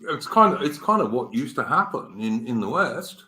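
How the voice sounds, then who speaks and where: An English man in his fifties hosting a casual political chat, halting, with many fillers and restarts. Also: mid-range voice, big pitch swings, pushed and bright, reverberant, rough recording. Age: 50s. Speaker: podcast host.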